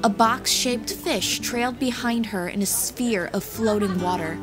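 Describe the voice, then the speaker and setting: A woman's voice: fairly high pitch, unhurried. A woman narrating a scripted fantasy audiobook as a storyteller. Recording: music playing behind.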